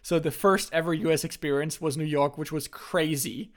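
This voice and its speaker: A young man from Germany, casually telling stories as a podcast host, in a relaxed way with frequent restarts. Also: on the high side, normal speed.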